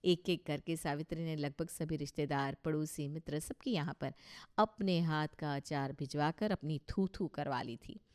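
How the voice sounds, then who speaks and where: A woman's voice: sits low, normal speed, hardly any pauses, darkish tone. A woman reading scripted narration for a moral story. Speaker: narrator and voice of characters.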